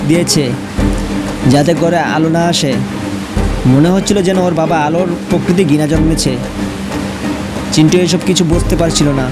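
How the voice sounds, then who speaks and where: A man's voice: on the high side, fairly even intonation, normal speed, long pauses, rough recording. A man telling a scripted horror story as its narrator. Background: music.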